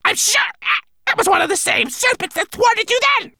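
A man voicing an agitated raven servant, squawking and complaining in a shrill voice. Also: lively intonation, normal speed, pushed and bright, dry recording, heavily acted. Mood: agitated, insistent. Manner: agitated, repetitive exclamations. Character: frantic male raven servant, squawking and complaining to his master.

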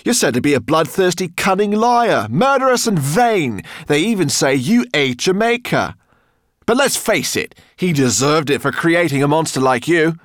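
A man playing a plucky, quick-witted, gentlemanly older professor who speaks urgently, often in a whisper. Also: on the low side, dry recording, studio quality, pitched above the performer's own voice, close to an everyday voice. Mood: loud defiance.

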